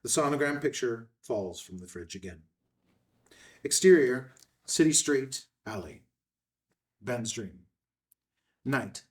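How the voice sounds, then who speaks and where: Measured male script narrator, distant mic. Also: medium pitch, animated. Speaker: narrator reading stage directions. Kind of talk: scripted narration at a table read.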